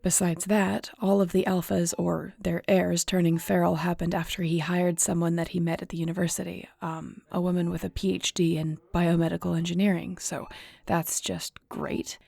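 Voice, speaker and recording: A woman's voice: fairly low pitch, little pitch movement, normal speed, vocal fry, hardly any pauses, warm tone. A woman acting in an audio roleplay as a weary, guilt-ridden shifter investigator, speaking in a somber, conversational, confiding way. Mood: matter-of-fact briefing.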